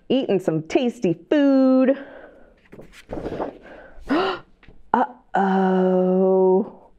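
A woman's voice: mid-range voice, a little mellow, muffled recording. A middle-aged woman hosting a children's storytime, reading a picture book aloud expressively, with exclamations and questions to her young listeners. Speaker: children's librarian, storytime host. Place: indoor library children's room. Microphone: clip-on lapel microphone.

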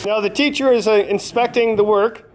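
Higher-pitched middle-aged man vlogging. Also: fairly even intonation, normal speed, crowd noise, rough recording. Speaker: vlogger, interviewer. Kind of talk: casual vlog chat and on-site questions. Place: large wooden workshop indoors. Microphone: handheld camera at arm's length.

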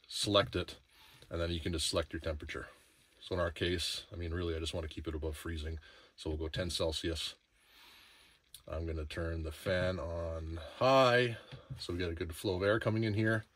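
Low-pitched man, casual walkthrough. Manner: conversational, step-by-step explaining. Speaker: presenter demonstrating a camper trailer. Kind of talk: casual instructional walkthrough vlog. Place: snowy outdoor campsite, briefly inside small trailer. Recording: clearly noisy outdoor selfie recording, clicks.

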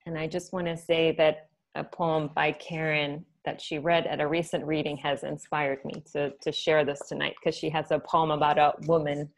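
A woman poet reading her own poems on a video call in a soft, measured way, with short spoken asides between them. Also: deep voice, level tone, poor audio.

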